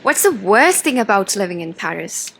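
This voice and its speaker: A woman interviewer putting brisk, upbeat questions to a guest. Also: mid-range voice, very animated, creaky, fairly bright tone, slight background noise. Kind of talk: rapid-fire interview questions.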